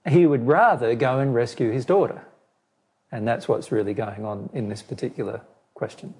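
A man whose voice keeps giving out, so it comes out husky and rough. His tone is dark and mellow, and he lays out his reading of a question in a measured way, often restarting a phrase. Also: medium pitch. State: losing his voice, hoarse. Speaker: spiritual teacher answering a question. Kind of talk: explaining to a listener.

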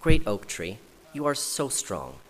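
A man's voice: on the low side, very animated, measured pace. A man voicing a small, pleading injured bird. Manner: pleading, polite and hopeful. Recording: reverberant hall, faint noise.

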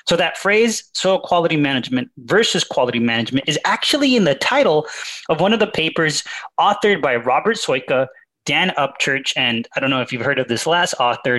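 Man, researcher guest, higher-pitched, measured and explanatory. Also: fairly bright tone, low-quality recording. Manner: measured, explanatory, with fillers and restarts. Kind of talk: academic interview answers.

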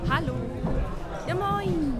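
An actress playing a brisk village woman chatting at a festival in an audio drama. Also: extremely high pitch. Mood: chatty. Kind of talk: German audio drama acting. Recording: loud music and festival crowd.

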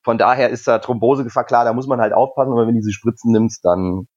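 Husky, joking man from Hesse. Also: mid-range voice, steady tone, quick pace, a little mellow, lo-fi sound. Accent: Hessian German. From Germany, Hesse. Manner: joking, rambling, with fillers. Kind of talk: casual podcast chat.

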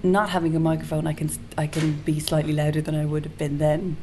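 A soft-spoken woman being interviewed as a singer-songwriter, talking in a casual, hesitant way with frequent restarts. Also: sits low, little pitch movement, fast. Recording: noticeable background hiss.

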